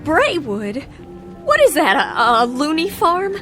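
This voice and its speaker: Distraught young woman, high voice, very animated, defensive and pleading, radio drama, music behind. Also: takes it slowly, full character voice. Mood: agitated. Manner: agitated, insistent, protesting.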